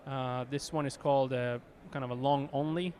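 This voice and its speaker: A man's voice: mid-range voice, fairly even intonation, normal speed, a little mellow. A man with an Israeli accent, an executive answering interview questions with many fillers and restarts. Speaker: company executive guest. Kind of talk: conference interview.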